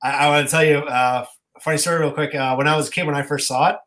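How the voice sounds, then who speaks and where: Guy artist getting interviewed, bit higher pitched, rambly stories, quotes himself n others. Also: fairly even intonation, quick pace, a bit bright. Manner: rambling storytelling, acts out remembered dialogue. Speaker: comic book artist being interviewed. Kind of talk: casual chat.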